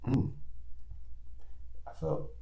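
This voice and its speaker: A man hosting a playful comedic interview, speaking in theatrical, mock-formal phrases with drawn-out hums. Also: dark tone, echoey room, slight background noise, low-quality recording. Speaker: talk show host.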